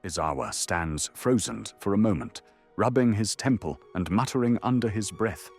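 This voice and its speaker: A man delivers dramatic scripted narration for an anime audio drama. His low voice is gravelly and moves expressively up and down, with faint music underneath. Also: lively intonation, moderate pace, darkish tone.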